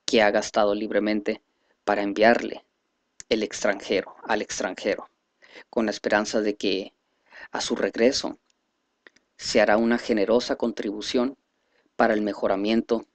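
A man reading a speech aloud in a halting, careful way, stumbling over words now and then. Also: lowish voice, little pitch movement, dry recording. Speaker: reader presenting a selected speech.

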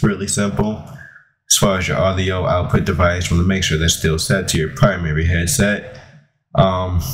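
Low-voiced man giving a casual tutorial. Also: slight background noise, low-quality recording. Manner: informal, instructional. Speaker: tutorial host. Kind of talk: casual step-by-step software tutorial.

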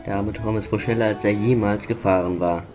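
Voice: deep voice, fairly even intonation, a little fast, close to an everyday voice. A performer gives a storybook narrator for a cartoon about engines. The telling is scripted and plain, with music running behind it. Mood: narrating.

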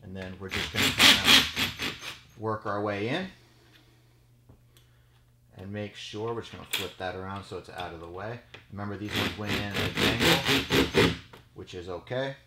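A man's voice: on the low side, animated, normal speed, long pauses. A man in his thirties, a judo coach giving a casual step-by-step how-to demonstration, checking in with "okay?" as he goes. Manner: casual, explanatory, frequent "okay?" check-ins. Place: small storage room with mats, indoors. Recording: echoey small room, faint noise.

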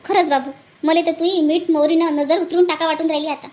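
A very shrill, quick-talking older village auntie from a cartoon played by a woman. She is warm-hearted and gushing, repeating herself insistently in Vidarbha Marathi. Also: steady tone. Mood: admiring. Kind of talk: comedy cartoon dialogue.

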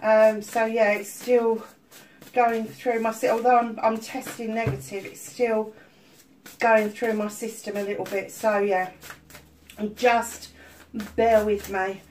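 A woman's voice: on the high side, level tone, slow pace, slight background noise, low-quality recording. A middle-aged woman giving a casual tarot reading at home, a little stuffy-nosed, chatty with many ums. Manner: chatty, warm-hearted asides with many ums. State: slightly stuffy-nosed from illness. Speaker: tarot reader. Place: home room at a table of crystals.

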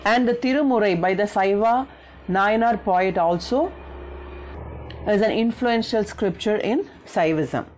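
A woman's voice: medium pitch, a little slow, a little mellow, a little hiss or hum. A woman with a South Indian accent giving an explanatory school history lesson, partly read out, repeating and rephrasing key points in a didactic way.

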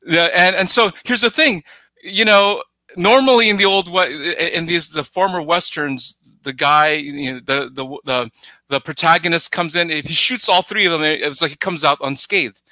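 A middle-aged man giving casual film analysis as a podcast guest, halting and often starting phrases over. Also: on the high side, bright, forceful tone, no room sound. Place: plain room at home, on a video call. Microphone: headset.

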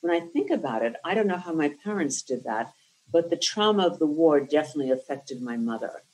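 Older woman, Lithuanian first language, guest on a podcast, measured and articulate storyteller. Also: sits low, lively intonation, a little mellow, low-quality recording. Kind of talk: storytelling interview answer. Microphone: podcast microphone.

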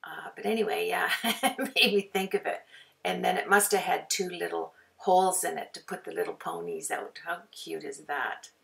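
A woman with a raspy voice from a sore throat, narrating a card-making tutorial as a chatty, rambling voice-over. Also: on the low side, animated, moderate pace, fairly bright tone, far from the mic. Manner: chatty, rambling, with frequent fillers. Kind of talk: casual voice-over for a craft video.

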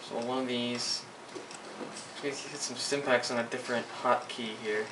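A man's voice: medium pitch, steady tone, moderate pace. A young man giving live gameplay commentary, swearing and grumbling as he plays. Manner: profane, frustrated outbursts at the game. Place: indoors at a computer. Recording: echoey room, distant mic, noisy.

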